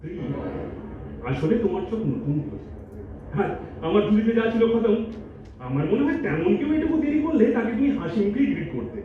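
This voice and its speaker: Defensive, pleading boyfriend played by a man, quick and animated, thin phone-like sound in an echoey hall. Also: sits high, distant mic, a little hiss or hum. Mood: weary resentment. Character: exasperated, defensive boyfriend in a long relationship. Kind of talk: melodramatic stage audio drama. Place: multipurpose hall stage.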